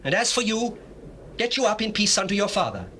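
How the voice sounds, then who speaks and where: An actor playing a lordly, commanding governor of Egypt in a dramatized scripture, declaiming formal archaic speech imperiously. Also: male voice, sits high, big pitch swings, a little fast, bright, forceful tone, heavily acted. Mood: stern, imperious. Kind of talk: dramatized scripture, stage acting. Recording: noisy old cassette tape.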